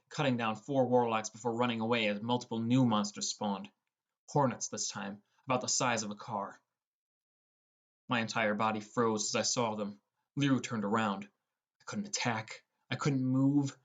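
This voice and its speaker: A young man narrates a fantasy adventure in the first person. His pitch sits in the middle for a male voice. The delivery is that of a scripted audiobook reading.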